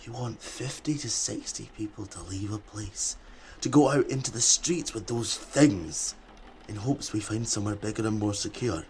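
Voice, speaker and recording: Brief: male actor as a protective survivor, low calm voice, faint ambient hiss. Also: normal speed, lightly acted. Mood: reasoning. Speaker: survivor in an underground group. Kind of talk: audio drama acting.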